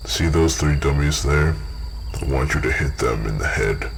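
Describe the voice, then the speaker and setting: Very deep, gravelly elf warrior. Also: male voice, moderate pace, noisy background. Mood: stern, measured. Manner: terse, commanding. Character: stern, measured male dusk elf warrior.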